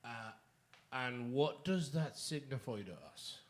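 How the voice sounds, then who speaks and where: An actor playing a greedy, nervous swindler in a radio drama, halting and stammering between oily politeness and bluster. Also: male voice, mid-range voice, natural voice. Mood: nervous, evasive. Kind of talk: theatrical radio drama acting.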